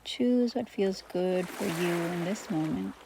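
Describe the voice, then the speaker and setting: A woman teaching meditation, guiding listeners gently through breathing and gratitude with soft pauses between phrases. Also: on the low side, noisy background. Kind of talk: guided meditation.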